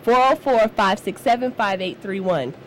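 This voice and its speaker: A woman's voice: medium pitch, normal speed, fairly bright tone, slight background noise, poor audio. A woman hosting a live radio talk show, chatty and upbeat as she promotes events, often laughing. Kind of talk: casual live radio chat.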